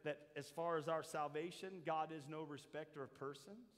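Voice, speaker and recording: Older guy preaching, high bright voice, swings quiet to shouting, long hall echo. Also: fairly even intonation, moderate pace. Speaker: pastor. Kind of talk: sermon. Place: large church hall with a seated congregation. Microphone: handheld mic, roaming the stage.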